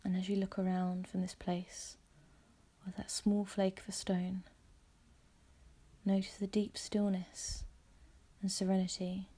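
A woman leading a guided visualisation meditation in a soft, breathy, husky voice, speaking gently and calmly in measured, soothing phrases. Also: mid-range voice.

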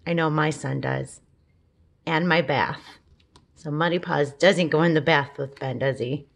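A woman reading a children's picture book aloud, gently, with asides and questions to young listeners. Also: deep voice, a little slow, creaky. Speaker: storybook reader.

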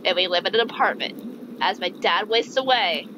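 A woman's voice: mid-range voice, moderate pace, muffled recording, background noise, lightly acted. A woman voicing a bitter, weary, resentful young woman in a dramatic reading of video game dialogue. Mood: grieving, bitter.

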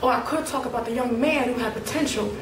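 A young woman speaks in a higher, lively voice. She is recorded from a distance in an echoey room. Background noise runs steadily. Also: fast talker. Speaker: radio program member.

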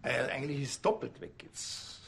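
A man's voice: mid-range voice, fairly even intonation, brisk, creaky, fairly bright tone, a little hiss or hum. An actor playing a wry, stubborn small-town policeman with a Bavarian accent in a TV crime comedy. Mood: matter-of-fact.

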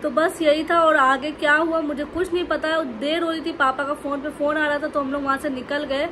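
High-voiced young woman vlogging at home. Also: a little fast, noisy background. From India. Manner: animated storytelling to the camera, with lively gestures. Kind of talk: casual chatty vlog narration. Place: bedroom at home, indoors. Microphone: phone held close.

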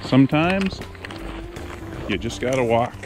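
A man's voice: mid-range voice, expressive, unhurried, music in the background. A young man chatting casually as he films himself ice fishing and skiing, narrating as he goes, in an upbeat, chatty way. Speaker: outfitter vlogger. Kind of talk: casual outdoor vlog. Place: ice fishing shelter, then outdoors on a snowy trail. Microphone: action camera held close or body-mounted.

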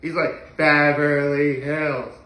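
A man's voice: fairly high pitch, little pitch movement, slow pace, pushed and bright. A young adult man chatting casually to camera at home in a gushing way, repeating phrases for emphasis. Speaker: video blogger. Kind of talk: casual solo chat to camera. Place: living room at home, indoors. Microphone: camera or phone a few feet away. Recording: echoey living room.

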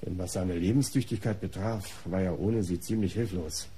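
An actor playing a defensive, disdainful art patron under police questioning in a German radio crime play, articulate, formal and self-justifying. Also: male voice, sits low, normal speed, dark tone, slight background noise, close to an everyday voice. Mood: dry, disdainful.

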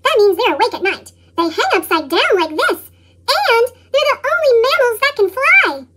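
A woman voicing an eager, chattering little girl from a storybook, through a pitched-up chipmunk effect. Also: big pitch swings, moderate pace, bright, forceful tone, full character voice. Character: excitable little girl who loves bat facts.

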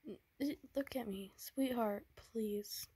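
Brief: a woman as a tender young boyfriend, breathy whisper, slow and halting, dry close sound. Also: fairly high pitch, no room sound, heavily acted. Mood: worried pleading. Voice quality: soft, breathy whisper with nervous stammers. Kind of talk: whispered romance roleplay.

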